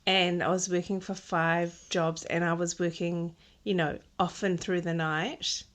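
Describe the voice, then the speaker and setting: A woman's voice: fairly low pitch, level tone, a little slow. A woman, a podcast guest talking through her career in a thoughtful way, with many ums and restarts. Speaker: podcast guest, textile designer. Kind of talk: casual interview conversation.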